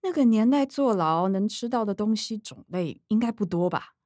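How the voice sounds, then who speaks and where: A woman's voice: mid-range voice, lively intonation, normal speed, a little mellow, dry recording, clean studio sound, natural voice. A woman playing a middle-aged, self-righteous, image-conscious daughter in a radio drama, earnest and scolding, with a Taiwanese accent. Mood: curious, skeptical.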